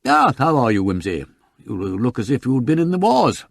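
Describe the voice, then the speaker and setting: A man voicing a hearty, effusive, self-assured English barrister with a brisk, affable, theatrical manner in an audiobook. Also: medium pitch, huge pitch swings, gravelly, no echo, clean studio sound, full character voice. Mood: apologetic, hearty.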